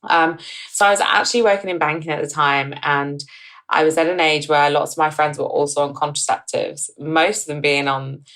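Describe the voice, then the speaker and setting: A woman's voice: low voice, steady tone, moderate pace, a bit bright. A woman in her thirties from northern England, a company co-founder, talking in a chatty way on a podcast. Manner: chatty, with frequent ums and restarts. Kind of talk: podcast interview.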